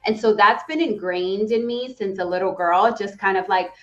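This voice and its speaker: An earnest woman in her thirties, raised in the Dominican Republic and a native Spanish speaker, telling her story in a quick, animated way. Her voice sits mid-range for a woman. The sound is a bit dull and lo-fi. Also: medium pitch. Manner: earnest, fast and animated, with frequent "right?" and "um". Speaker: guest, real estate executive. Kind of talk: casual interview conversation.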